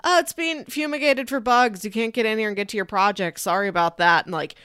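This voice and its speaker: A woman's voice: medium pitch, fast, a bit bright, clear, resonant tone, dry recording, studio quality. A woman talking casually and analytically as a podcast co-host discussing a film.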